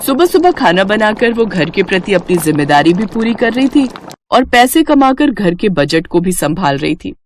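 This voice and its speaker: A woman's voice: mid-range voice, very animated, moderate pace, slight background noise. A woman storyteller reading scripted narration of a family moral story.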